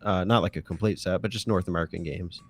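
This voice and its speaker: A man's voice: sits low, steady tone, quick pace, soft background music. A man co-hosting a gaming podcast in casual chat, jokey and teasing. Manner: jokey, teasing, mock-preacher bits. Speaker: podcast co-host.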